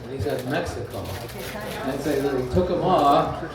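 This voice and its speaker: Man doing stand-up in an echoey club. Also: medium pitch. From New Jersey, USA. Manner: dry, self-deprecating storytelling with frequent pauses. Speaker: stand-up comedian. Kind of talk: stand-up comedy set. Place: comedy club stage. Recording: echoey club heard from a distance, loud audience laughter. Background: loud crowd laughing.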